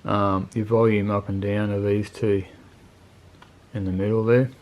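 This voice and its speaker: A man's voice: fairly low pitch, level tone, unhurried, highs cut off. A man giving a casual, unscripted product review in a laid-back, colloquial, plain-spoken way. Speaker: motorcycle video channel host reviewing gear.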